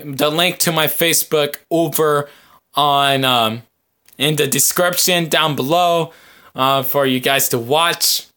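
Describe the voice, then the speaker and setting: A man hosting a podcast, talking casually to his viewers in a rambling, halting way with long hesitant pauses. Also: a little high, bright, forceful tone. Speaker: podcast host.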